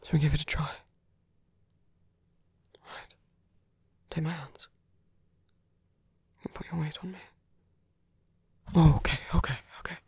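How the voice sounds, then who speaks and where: A man playing a protective, gently teasing villain in an intimate ASMR roleplay, speaking in a soft, hesitant, breathy half-whisper. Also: fairly high pitch, steady tone, unhurried, long pauses, dry recording, exaggerated voice. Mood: gentle, urgent concern. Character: male villain, protective, gently teasing, rescuing a wounded rival.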